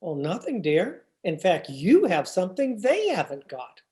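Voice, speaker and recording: A woman's voice: fairly low pitch, very animated, measured pace, dark tone. A woman playing a teasing, stubborn husband in old-time radio comedy, with a theatrical, wry and exasperated delivery. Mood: firm, wry. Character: George, teasing, stubborn husband of a 1940s housewife. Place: remote video-call production.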